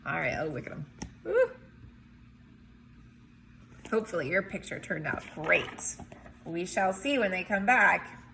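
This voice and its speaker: A woman reading a storybook aloud in an expressive, emphatic way, with chatty asides as if to young children. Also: fairly low pitch, unhurried, vocal fry, long pauses, slight background noise. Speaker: teacher or reader reading a picture book aloud.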